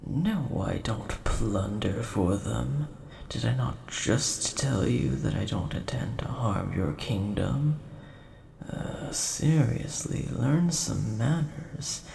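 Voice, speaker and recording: Man as a slow, gravelly, courteous nerdy dragon. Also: mid-range voice, darkish tone. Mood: exasperated. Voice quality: gravelly, creaky. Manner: polite, measured, mildly indignant. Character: large, mild-mannered, nerdy male dragon, easily offended coin collector. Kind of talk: scripted audio roleplay addressed to the listener. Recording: roomy echo, faint background hiss.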